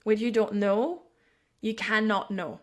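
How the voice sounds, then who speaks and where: French woman chatting in english, accent, keeps restarting and hunting for the right word, mid pitch. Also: steady tone, measured pace. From France. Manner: searching for words, many restarts. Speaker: podcast host. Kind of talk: casual conversation. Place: home, at night.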